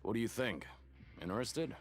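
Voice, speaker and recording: A man's voice: on the low side, expressive, normal speed, close to an everyday voice. An actor playing an affable, slick attendant running a game stall in a video game, with chummy salesman patter. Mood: upbeat, persuasive.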